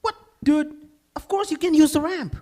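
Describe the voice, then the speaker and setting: A man's voice: very high-pitched, normal speed, mellow. A man playing an exasperated, fretful team leader in a conversational style at a live comedy table read.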